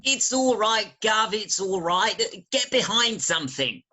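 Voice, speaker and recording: A woman's voice: medium pitch, big pitch swings, a little slow, bright, forceful tone, heavily acted. A woman playing a cheeky, confident teenage stepson in a staged reading of a Victorian farce, breezy and familiar.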